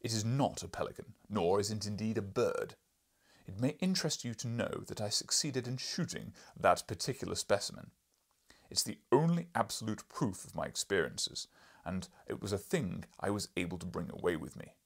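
A man's voice: fairly low pitch, lively intonation, moderate pace, breathy edge. A man voicing an imperious, irascible older professor and explorer in an audiobook, lecturing pompously in a declamatory way. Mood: proud, declamatory. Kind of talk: audiobook character reading.

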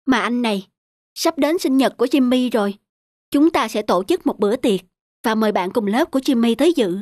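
A woman playing a gentle, caring mother of a young boy in a cartoon, softly concerned. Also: a little high, animated, a little fast, no echo, studio quality. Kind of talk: dubbed cartoon acting.

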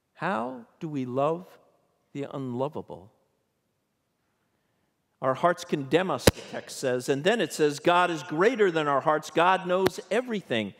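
An older man, a bishop, preaching a sermon from a pulpit in a church sanctuary, measured and questioning in tone. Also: a little high, gravelly. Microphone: pulpit microphone. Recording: long church reverb.

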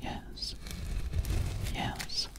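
A young woman whispering close to the microphone in an ASMR style, playing an alien girl and lingering repetitively on single words. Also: very slow. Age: young adult. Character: alien girl hiding in a stranger's house. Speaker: ASMR performer. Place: small bedroom, indoors.

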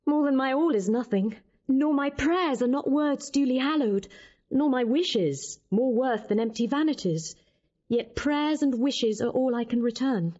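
High-voiced, demure young lady. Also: expressive, a little slow, a little mellow, muffled recording. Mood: demure, humble. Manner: earnest, demure. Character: modest, gentle young lady-in-waiting. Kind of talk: classical Shakespearean radio drama acting.

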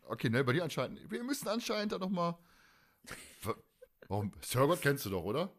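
A man's voice: fairly high pitch, sing-song, brisk, fairly bright tone. A man co-hosting a fan podcast, chatting casually in a colloquial, joking way. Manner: colloquial, jokey. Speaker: podcast co-host.

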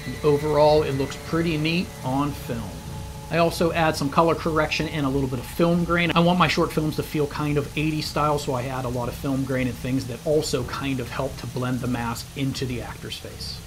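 A man's voice: a little high, fairly even intonation, brisk, noisy background. A man in his thirties, a channel host, chatting casually and explaining practical details in a small indoor studio. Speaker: channel host reviewing masks. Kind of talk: casual review talk.

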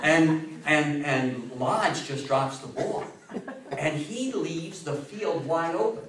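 A man's voice: fairly high pitch, fairly bright tone, a little hiss or hum. Guy in his 50s doing an emphatic public talk, super echoey, mic way off across the room. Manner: theatrical, emphatic delivery with dramatic pauses and repetition. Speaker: lecturer. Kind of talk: animated public history talk to a live audience. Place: meeting room with a seated audience, indoors. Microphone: distant camera microphone. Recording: echoey room, recorded from far off.

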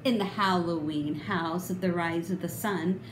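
A woman's voice: fairly low pitch, measured pace. A middle-aged woman reading a children's picture book aloud with theatrical, emphatic, sing-song expression. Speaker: storybook reader. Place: indoor room. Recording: roomy echo, clear background noise.

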